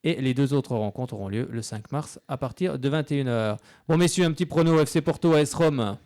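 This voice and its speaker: A man's voice: mid-range voice, brisk, a little mellow, no room sound, studio quality. A man hosting a live sports show on local radio, chatty and full of hesitant fillers.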